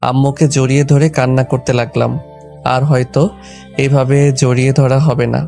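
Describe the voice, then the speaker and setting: A man's voice: mid-range voice, little pitch movement, moderate pace, creaky, dark tone. A man reading a scripted first-person story as its narrator and lead character. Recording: background music under the voice. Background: music.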